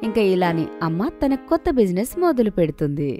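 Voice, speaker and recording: A woman's voice: fairly low pitch, big pitch swings, a little fast, music in the background. A woman narrator reading a scripted children's story.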